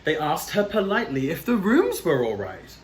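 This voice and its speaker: Theatrical male storyteller, very animated high voice. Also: normal speed. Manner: theatrical, chatty, addresses young viewers directly. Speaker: storyteller, drag queen host. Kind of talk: children's picture-book read-aloud with asides. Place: small plain room indoors. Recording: echoey room, light background noise.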